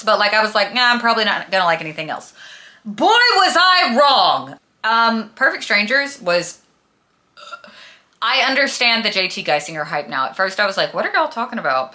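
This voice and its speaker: A woman's voice: mid-range voice, sing-song, normal speed, sharp tone. A woman talking casually as a book vlogger, with a gushing, emphatic, dramatic and slangy manner. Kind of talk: casual chatty book review vlog.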